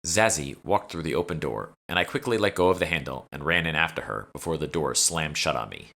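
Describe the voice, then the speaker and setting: A man with a deep voice narrates a first-person audiobook. His tone is bright and forward, in the manner of an author reading his own story. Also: expressive, a little fast, creaky.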